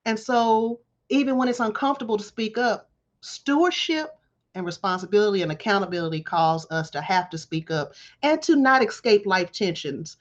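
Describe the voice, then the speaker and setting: A woman's voice: medium pitch, expressive, normal speed, lo-fi sound. A woman in her forties, a college dean from Texas, giving reflective testimony in an interview with emphatic, preachy phrasing. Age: 40s.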